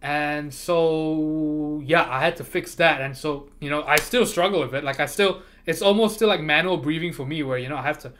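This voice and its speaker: Livestream host, man, first language Chinese, talkative and repetitive, bright fairly high voice. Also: normal speed, hardly any pauses, slight background noise. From moved to America from abroad. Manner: rambling, repeats words, laughs often. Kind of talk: casual chat with chat readers.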